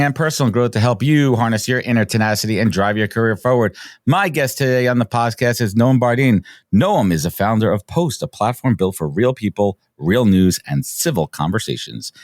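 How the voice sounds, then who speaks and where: A man's voice: medium pitch, dry recording, studio quality. A man hosting a business podcast, enthusiastic, often restarting and repeating words. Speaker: podcast host. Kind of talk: casual interview chat.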